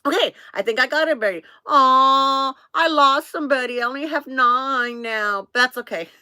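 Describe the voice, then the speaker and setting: A woman of 57, hosting a casual live stream in an excitable, scattered, bubbly way and chatting to viewers. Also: high-pitched, normal speed. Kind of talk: casual chat, greeting viewers by name.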